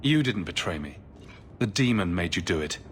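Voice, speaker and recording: A man playing a calm, inquisitive adventurer hero in a video game, speaking in a reassuring way. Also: deep voice, normal speed, a little hiss or hum. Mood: reassuring, concerned.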